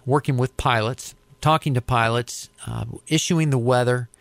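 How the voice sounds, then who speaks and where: A middle-aged American man talks in an informal interview. His voice sits at a medium pitch, and he explains things conversationally, sometimes repeating words as he gathers a thought. The sound is dry, with very little room echo. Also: normal speed, gravelly. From United States. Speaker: interviewee, air traffic controller.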